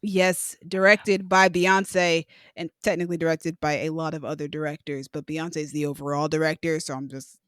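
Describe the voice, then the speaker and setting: Man, fairly high voice, enthusiastic podcast co-host, dry sound. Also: little pitch movement, moderate pace, hardly any pauses, fairly bright tone, smooth, dry recording. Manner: enthusiastic, emphatic. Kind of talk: casual movie review chat.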